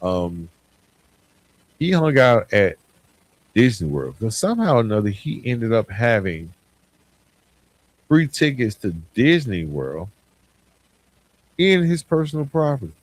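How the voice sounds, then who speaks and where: A man's voice: medium pitch, sing-song, unhurried, long pauses, no echo, low-quality recording. A man hosting a podcast alone in a reflective monologue, stressing points by repeating phrases. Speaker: podcast host. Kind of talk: solo podcast monologue, reflective year-end recap.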